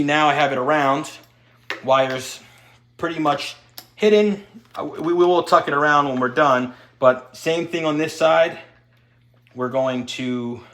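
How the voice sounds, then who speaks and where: A man's voice: medium pitch, a bit bright. A man giving an unscripted step-by-step installation tutorial, talking as he works with his hands. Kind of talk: hands-on how-to demonstration, unscripted.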